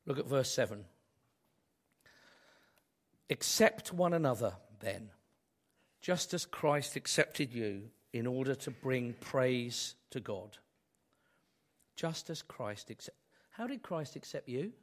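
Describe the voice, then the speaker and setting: A man preaching a sermon, hoarse from a cold, asking his congregation rhetorical questions in a conversational way. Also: medium pitch, lively intonation, takes it slowly, long pauses. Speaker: pastor.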